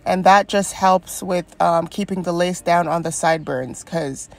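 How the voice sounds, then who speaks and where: A woman's voice: fairly low pitch, unhurried, gravelly. A young woman with a stuffy, congested voice from a cold, chatting through a step-by-step wig install tutorial and encouraging viewers. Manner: chatty and encouraging, frequent ums. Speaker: wig stylist and beauty vlogger.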